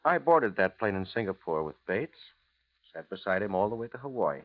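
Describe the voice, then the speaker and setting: Sly needling american crook, insinuating, animated pitch, dull old radio recording. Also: male voice, a little fast. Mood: probing. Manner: drawling hesitations, knowing, insinuating. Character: sly, insinuating male blackmailer, coolly needling. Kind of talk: 1950s radio drama acting.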